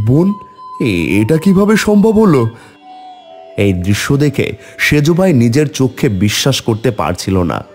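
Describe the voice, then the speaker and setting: A man's voice: on the low side, a little mellow. A man narrating a scripted folk tale in a dramatic storytelling style.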